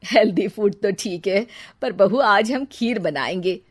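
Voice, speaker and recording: A woman voicing a gentle middle-aged mother-in-law in a cartoon story, speaking in a placating, reassuring way. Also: mid-range voice, measured pace, no echo, clean studio sound, lightly acted.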